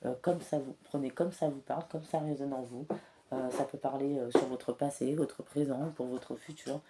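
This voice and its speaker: A woman with a cold and a slightly hoarse voice, giving a spiritual card reading in a soft, hesitant way with many filler pauses. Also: rumbling low, steady tone, very noisy. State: has a cold, clears throat often. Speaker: tarot and oracle card reader.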